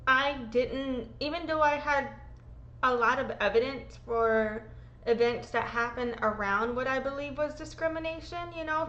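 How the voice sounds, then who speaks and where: A woman's voice: sits high, pushed and bright, dull audio. Woman vlogger in her thirties, under the weather with a sore throat, rambling and emotional, in a roomy-sounding space. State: sick, sore throat, voice lower than usual. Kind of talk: casual rambling vlog. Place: home room being packed up.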